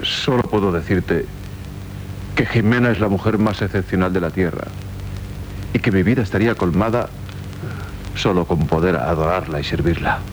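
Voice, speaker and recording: An actor playing an earnest, awkward, loyal knight in 1970s radio theatre, speaking respectfully and hesitantly. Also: male voice, normal speed, pauses often, close to an everyday voice. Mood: nervous, earnest. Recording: rumbly, noisy 1970s radio recording.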